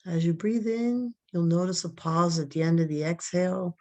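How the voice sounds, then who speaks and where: A woman leading a guided relaxation meditation, giving soft, calm, drawn-out breathing instructions. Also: on the low side.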